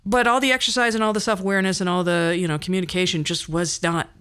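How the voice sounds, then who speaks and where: A woman in her fifties with a fairly low voice hosts a podcast monologue. She talks conversationally about her own life, with a wry, self-deprecating touch. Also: steady tone, fast talker, a bit bright, clean tone. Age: 50s. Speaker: podcast host. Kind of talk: personal storytelling monologue.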